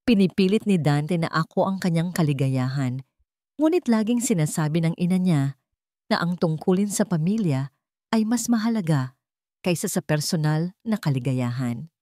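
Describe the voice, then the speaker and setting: A wistful young Filipina teacher played by a woman narrator confides her love as if from a diary. Her lowish voice is earnest and rises and falls a lot, recorded dry for an audio story. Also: expressive, normal speed, warm tone, no room sound, natural voice. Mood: hurt.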